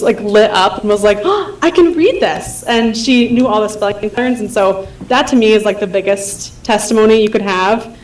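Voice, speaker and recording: Teacher-sounding woman speaking from afar in an echoey room. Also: medium pitch. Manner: casual, many fillers like "um" and "like". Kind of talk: board meeting testimony. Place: meeting room. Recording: echoey room, far from the mic.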